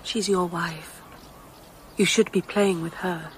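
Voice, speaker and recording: A woman's voice: fairly low pitch, fairly even intonation, slow, slight background noise. An actress playing a reserved, uneasy divorced society woman, hesitant, polite and evasive, in a radio drama. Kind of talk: British radio drama acting.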